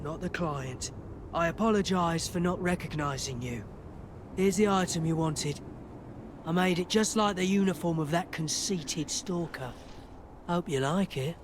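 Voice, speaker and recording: A man's voice: sits high, lively intonation, measured pace, slight background noise. An actor playing a sly, ingratiating male dealer. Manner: smooth, ingratiating.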